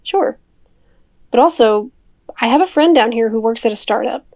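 Over a thin, narrow phone line comes a caring older sister with a fairly high voice. She is practical and natural, a woman in an audio drama. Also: expressive, normal speed. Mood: helpful, matter-of-fact. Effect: phone filter.

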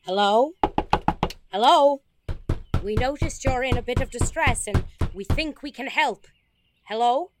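A woman's voice: on the high side, animated, unhurried, long pauses, music in the background. A woman playing an earnest, fascinated paranormal investigator in an audio drama, explaining things like a documentary narrator. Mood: urgent, pleading.

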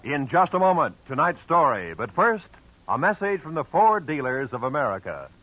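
Crisp old-time radio announcer, man. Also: fairly high pitch, big pitch swings, fairly bright tone, faint music behind, rough recording. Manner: crisp, measured, declamatory. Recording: hissy old radio broadcast.

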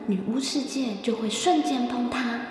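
A woman's voice: a little high, normal speed. A woman narrator reading a scripted children's story expressively. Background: music.

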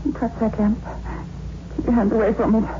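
A high-voiced young woman played by an actress in a vintage radio horror play, secretive and fierce, pleading with urgent melodrama. The sound is tinny and narrow, like an old broadcast. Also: little pitch movement, normal speed, background noise, natural voice. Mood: pleading, afraid.

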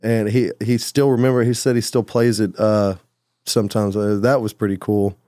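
A man's voice: lowish voice, level tone, moderate pace, warm tone, no echo. Rambling musician man, dry sound. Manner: rambling, loose, full of "like" and "you know". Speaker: musician guest. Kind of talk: casual conversation.

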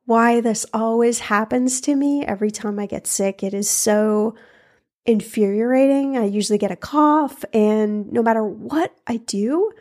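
A woman hosting a money podcast, hoarse and froggy from a recent cold, chatting casually and laughing between points. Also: a little high, unhurried, a little mellow. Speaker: podcast host. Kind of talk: casual solo podcast talk.

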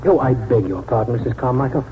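A man's voice: mid-range voice, slight background noise, natural voice. Wistful old-time radio doctor, played by a man, tinny. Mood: kindly, reassuring. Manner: measured, poetic delivery with deliberate pauses. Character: wistful aging village doctor remembering his lost young wife. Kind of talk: 1940s radio drama acting, first-person narration. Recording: tinny 1940s radio broadcast, loud music.

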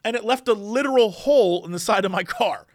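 A man's voice: high-pitched, brisk, no echo, studio quality. A man co-hosting a podcast, telling a story in casual chat and acting out the people in it. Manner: animated storytelling, acting out other people in a raised voice. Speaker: podcast co-host.

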